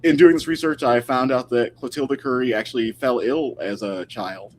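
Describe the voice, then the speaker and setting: Male historian guest, mid register, casual and thoughtful with halting phrasing; mildly noisy recording. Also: medium pitch. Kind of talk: casual interview talk.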